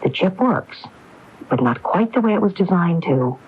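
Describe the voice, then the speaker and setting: A woman's voice: lowish voice, animated, breathy edge. Probing woman on muffled old radio. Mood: accusing, grieved. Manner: probing, deliberate, accusing questioning. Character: determined grieving woman confronting her brother over fraud. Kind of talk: 1980s radio drama acting. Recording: muffled 1980s AM radio broadcast tape.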